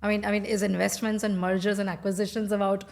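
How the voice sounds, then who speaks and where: A woman's voice: medium pitch, little pitch movement, quick pace, gravelly, darkish tone. A woman interviewing a guest in a casual, playful way, asking questions. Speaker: interviewer, host.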